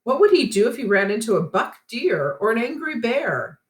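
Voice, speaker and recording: A woman reading a children's storybook aloud like a teacher, with expressive and deliberate phrasing. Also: fairly high pitch, echoey room.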